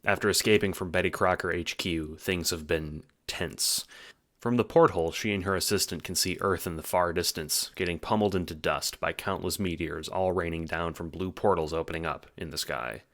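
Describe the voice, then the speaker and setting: A man's voice: deep voice, normal speed, a bit bright. A man delivering scripted audiobook narration in a wry, theatrical, playful way.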